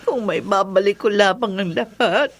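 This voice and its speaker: A woman's voice: mid-range voice, normal speed, lightly acted. A woman playing an anguished, guilt-ridden mother in a melodramatic horror radio drama, tearful and pleading. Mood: tearful remorse.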